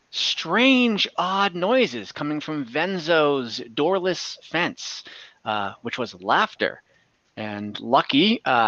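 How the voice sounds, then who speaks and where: A man narrating an online tabletop game as game master, with a halting, hesitant delivery. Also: mid-range voice, a bit bright, no echo. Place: indoor room, video call. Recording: muffled, dry video call audio.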